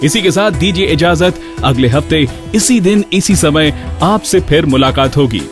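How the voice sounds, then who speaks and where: Higher-pitched male radio host over music. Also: lively intonation, moderate pace. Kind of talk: scripted radio narration. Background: music bed.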